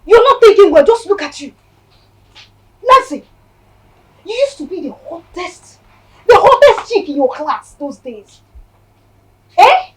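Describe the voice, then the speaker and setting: A woman's voice: very high-pitched, sing-song, unhurried, pauses often, exaggerated voice, poor audio. A woman playing a mocking, scolding younger sister. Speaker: actor in a comedy skit. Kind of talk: comic skit acting.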